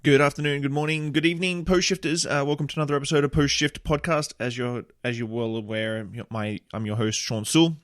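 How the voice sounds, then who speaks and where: A man's voice: fairly high pitch, quick pace, few pauses, fairly bright tone. A man hosting a podcast, talking casually with lots of fillers and enthusiastic restarts. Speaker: podcast host. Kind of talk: casual podcast intro and interview. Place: small bar.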